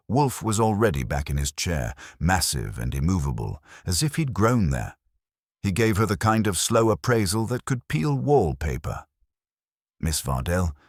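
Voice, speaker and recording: A man's voice: low-pitched, no echo, clean studio sound. A man narrating a scripted first-person detective story in a wry, hardboiled manner.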